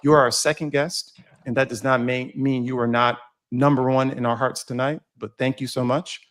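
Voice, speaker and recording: A man's voice: medium pitch, flat delivery, normal speed, fairly bright tone. A man hosts a casual podcast, sounding worn out. He speaks in a friendly, easygoing way with frequent fillers and restarts. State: tired. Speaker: podcast co-host.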